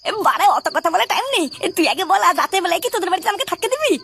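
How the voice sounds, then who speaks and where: A woman's voice: very high voice, expressive, very fast, creaky, sharp tone, slight background noise, exaggerated voice. A woman with a West Bengal Bengali accent voicing a wheedling, foul-mouthed runaway son in a comedy cartoon, agitated and stammering.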